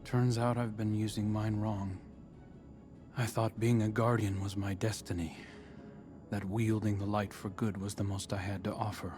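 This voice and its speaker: A man's voice: fairly low pitch, flat delivery, normal speed, music in the background, lightly acted. A man acting a remorseful guardian hunter in a video game cutscene, speaking reflectively and earnestly. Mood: reflective, resolved. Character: remorseful male guardian hunter seeking redemption.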